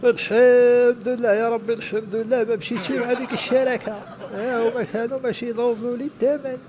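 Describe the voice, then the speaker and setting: Cartoon role: stubborn older northern Moroccan woman, played by a man, very high voice, quick and argumentative. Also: flat delivery, crowd noise. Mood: grumbling. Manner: grumbling, argumentative. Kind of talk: comedy cartoon dialogue.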